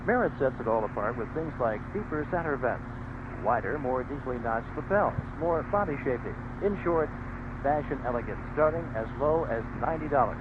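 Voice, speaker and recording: A male announcer reads a scripted advertisement in a mid-pitched voice. The recording is a narrow, tinny old AM radio signal with clear noise over it. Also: expressive, moderate pace.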